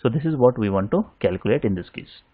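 A man lecturing over slides in a steady explaining manner, often pausing with "uh" fillers. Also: mid-range voice, dry recording, phone-quality sound.

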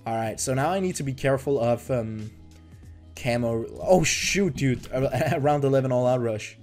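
A young man from Denmark, not a native English speaker, chatting excitedly through casual gameplay commentary. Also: medium pitch, normal speed, soft background music. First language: Danish. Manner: excitable, chatty, trails off and restarts. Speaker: gaming YouTuber.

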